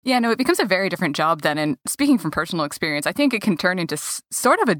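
A woman's voice: fairly low pitch, big pitch swings, very fast, a bit bright, dry recording, studio quality. A woman chatting casually as an interviewer.

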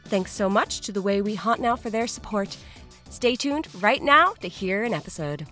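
A woman podcast host reads a playful sponsor message over faint music. Her delivery is whimsical and tongue-in-cheek, with very lively pitch movement. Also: normal speed. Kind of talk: playful scripted promo.

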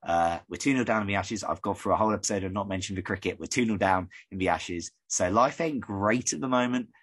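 A man from the UK hosting a casual podcast, rambling and conversational, his voice croaky. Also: lowish voice, fast. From United Kingdom. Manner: rambling, conversational, many fillers. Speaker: podcast host.

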